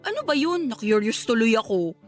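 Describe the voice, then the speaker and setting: An actress playing a shy, sweet young provincial office worker in a radio drama, expressive and girlish. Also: on the high side, fairly quick, soft background music. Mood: curious, nervous. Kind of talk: Tagalog radio drama acting.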